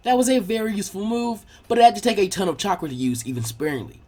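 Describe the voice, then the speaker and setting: Animated, higher-pitched male story narrator. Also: quick pace. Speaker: fan fiction narrator.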